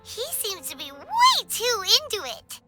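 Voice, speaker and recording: Squeaky tiny sprite girl, super high, bounces all over, chirpy and blunt. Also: unhurried, faint music behind, full character voice. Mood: wry. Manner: shrill, excitable, teasing. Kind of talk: video game voice acting.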